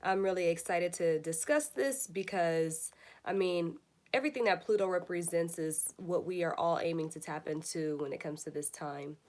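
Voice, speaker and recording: A woman's voice: on the low side, normal speed, darkish tone. A woman hosting a casual spiritual livestream, a little congested, talking straight to her viewers. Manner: chatty, addresses viewers directly. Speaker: astrology livestream host. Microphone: phone or webcam, live stream.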